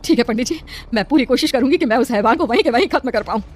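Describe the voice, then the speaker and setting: A woman's voice: on the high side, animated, racing, a little mellow. A woman playing an anxious but brave village aunt in a horror cartoon, urgent and pleading. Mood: nervous resolve. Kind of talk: Hindi horror cartoon voice acting.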